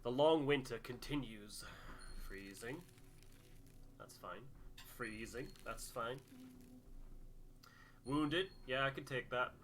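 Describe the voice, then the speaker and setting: A man's voice: medium pitch, slow, pauses often, a bit bright, soft background music. A man hosting a game playthrough, casually muttering his reactions and decisions as he plays. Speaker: gaming host, player.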